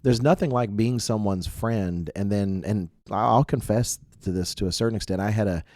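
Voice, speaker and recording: A man's voice: on the low side, moderate pace, a little mellow, no room sound. A man co-hosting a casual religious youth podcast in a chatty, self-deprecating way, full of asides, getting over a mild cold. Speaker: podcast co-host.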